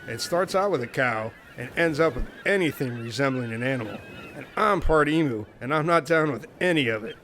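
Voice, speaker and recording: A man's voice: mid-range voice, animated, a little fast, fairly bright tone, slight background noise, close to an everyday voice. A man playing a blunt, wary castaway in a comic radio play, casual and protesting.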